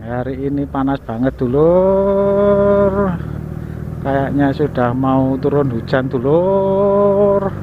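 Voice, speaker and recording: A man with an East Javanese accent, talking slowly and easily while he rides, chatty and quick to poke fun at himself. His voice comes through dull and muffled on a bike-mounted camera. Loud traffic rumbles behind him. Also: expressive. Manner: chatty, joking, laughs at himself. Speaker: motovlogger host. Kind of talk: casual riding vlog narration. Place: riding a motorbike on city streets, then a cafe.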